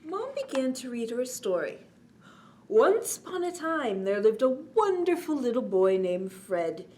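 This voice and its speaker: A woman hosting a children's storytime, reading a bedtime story aloud in an expressive manner and talking gently to young listeners. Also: a little high, measured pace. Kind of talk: read-aloud bedtime story with chatty asides. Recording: clearly noisy background.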